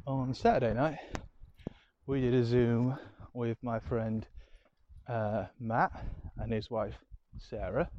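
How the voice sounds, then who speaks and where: A man's voice: mid-range voice, a little mellow, muffled recording, slight background noise. A man with a Yorkshire accent rambling casually through his weekend in a diary-style chat, with lots of ums and a self-deprecating manner. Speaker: diary podcast host. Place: home.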